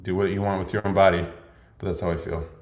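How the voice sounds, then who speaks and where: A man's voice: low voice, level tone, fast talker, darkish tone. A young man hosting a livestream Q&A podcast, chatting casually and laughing often as he jokes. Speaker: podcast host. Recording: echoey room.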